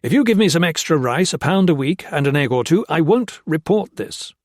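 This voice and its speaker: A man's voice: on the high side, animated, brisk, a little mellow, no room sound, studio quality, pitched above the performer's own voice, lightly acted. A man voicing a crooked army colonel who commands, blusters and threatens. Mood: scheming. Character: bullying male army colonel, menacing and crooked.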